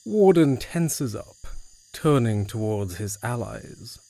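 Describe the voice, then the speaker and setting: A man's voice: medium pitch, very animated, takes it slowly, warm tone. A man reading scripted narration for an audio drama.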